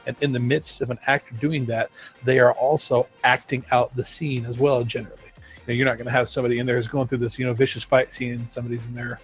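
A man's voice: mid-range voice, little pitch movement, quick pace, slightly rough, faint music behind. Talkative man explaining at length. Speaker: game studio team member on stream. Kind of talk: casual stream chat.

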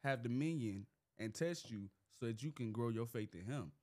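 A young man with a Southern US accent, a guest in a casual chat, talking in a relaxed, slangy way and at times preaching. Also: medium pitch, normal speed. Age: young adult.

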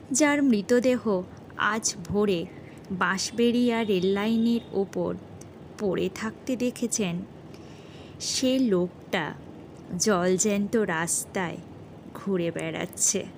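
A woman storyteller narrating a scripted horror story dramatically, in a measured way with pauses. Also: medium pitch, a little hiss or hum.

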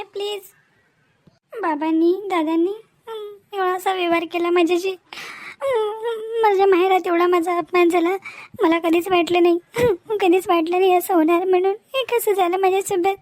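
A woman's voice: very high-pitched, a little mellow, dry recording. A woman playing a young newlywed wife in a cartoon melodrama, devoted and hurt, speaking in an emotional, plaintive way. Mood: hurt, tearful. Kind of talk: amateur Marathi cartoon melodrama dialogue.

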